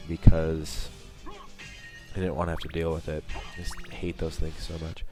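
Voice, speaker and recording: Young male let's-play host, bass-low voice, stuffy with a cold, rambling and wry. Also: monotone, normal speed, over music. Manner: rambling, self-mocking, chatty. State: sniffling from a cold. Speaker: gaming channel host. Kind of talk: let's play commentary over gameplay.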